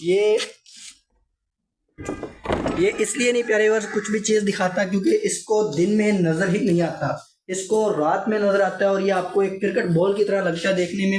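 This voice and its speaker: Chatty high-voiced vlogger with a sore throat. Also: male voice, fairly even intonation, moderate pace, rough recording. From Pakistan. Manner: chatty, addresses viewers often. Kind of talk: casual vlog narration to viewers. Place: hot store room in a village house.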